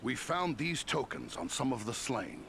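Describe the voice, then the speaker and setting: Gravelly, determined adventurer. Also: male voice, medium pitch, big pitch swings, normal speed, fairly bright tone, slight background noise. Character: plain-spoken, determined male adventurer. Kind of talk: dramatic fantasy game acting.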